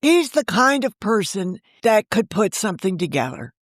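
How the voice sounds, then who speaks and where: A woman in her sixties, a novelist guest on a remote interview, talking in a chatty, animated way and often jumping in over the host. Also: medium pitch, normal speed, no echo, studio quality. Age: 60s. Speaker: novelist and podcast creator, guest. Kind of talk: casual interview chat.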